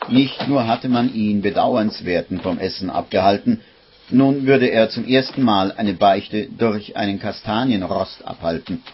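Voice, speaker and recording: A man narrating a scripted radio play as a measured, wry storyteller. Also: on the low side, lightly acted. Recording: muffled old radio recording, faint noise.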